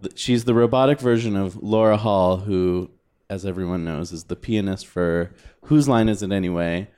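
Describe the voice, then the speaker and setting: Deep-voiced man hosting with deadpan jokes. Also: normal speed, clean tone. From Northern California. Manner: dry, deadpan joking. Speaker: podcast co-host. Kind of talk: casual podcast chat.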